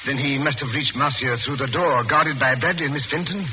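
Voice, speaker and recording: Guy acting quiet polite apologetic man, old radio play, hissy recording. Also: mid-range voice, a little fast, natural voice. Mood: puzzled. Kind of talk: 1940s radio mystery acting. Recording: noisy, muffled 1940s radio recording.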